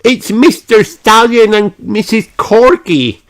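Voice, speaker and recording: A man's voice: sits high, animated, slow pace, a bit bright, slight background noise, pitched above the performer's own voice, heavily acted. A man voicing an earnest little girl pig in an emphatic children's storybook voice. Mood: urgent.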